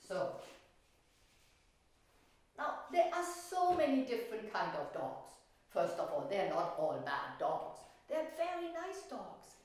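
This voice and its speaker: A woman's voice: medium pitch, a little mellow. A woman in her sixties, an art teacher and storyteller, explaining things simply as if to young children in a classroom. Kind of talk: children's storytelling and painting lesson. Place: wooden-floored classroom, indoors. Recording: very echoey room, distant mic.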